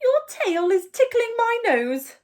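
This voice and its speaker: A woman voicing a kindly, gently flustered man who lives in a park hut, in a children's storybook. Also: very high-pitched, very animated, slow, voice pushed up, heavily acted. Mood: mildly flustered. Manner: exclaiming, concerned, obliging.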